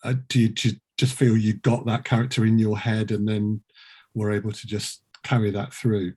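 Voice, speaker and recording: A man's voice: on the low side, flat delivery, normal speed, dark tone, breathy edge. A man hosting a casual interview as producer, with dry, playful humour. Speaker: host, producer.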